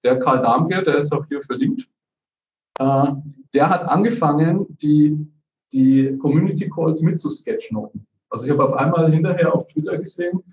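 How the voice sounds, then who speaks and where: A man's voice: fairly high pitch, normal speed, darkish tone. A man on a video call, casually presenting his community platform, dotting his speech with "ne?" and "äh". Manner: chatty, frequent "ne?" tags and "äh" fillers. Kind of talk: casual screen-share presentation. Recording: roomy, distant, dull video-call audio.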